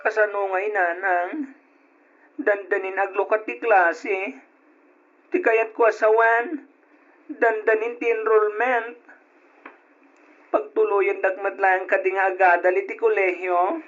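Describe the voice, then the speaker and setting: An earnest, effeminate teenage boy in an old radio drama. He sounds wounded and plaintive, pleading softly with his parents. The recording is muffled and lo-fi. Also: sits high, fairly even intonation, slow pace, pauses often, full character voice. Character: hurt, earnest effeminate teenage son longing for college.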